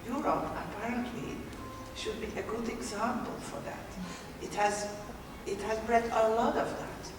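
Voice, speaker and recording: A woman's voice: fairly high pitch, steady tone, moderate pace. A woman from Belgium joining a discussion after a lecture, thoughtful, with frequent restarts. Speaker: discussion participant. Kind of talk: spontaneous discussion. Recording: echoey hall, distant mic, background noise.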